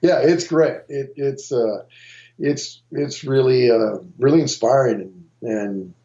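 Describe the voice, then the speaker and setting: A man's voice: mid-range voice, slow pace, warm tone, highs cut off. A middle-aged man from Montana, a guitarist and songwriter, telling rambling stories in a casual interview with many restarts. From Montana, USA.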